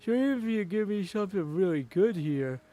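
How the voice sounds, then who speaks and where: A man voicing a hesitant, apologetic game character who talks in an awkward, halting, polite way. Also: high-pitched, expressive, dark tone, pitched above the performer's own voice.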